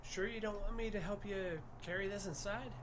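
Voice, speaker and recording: A man playing a friendly, laid-back diner server in casual fiction podcast dialogue, obliging and relaxed in manner. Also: high voice, a little fast, noisy background, lightly acted. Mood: easygoing, friendly.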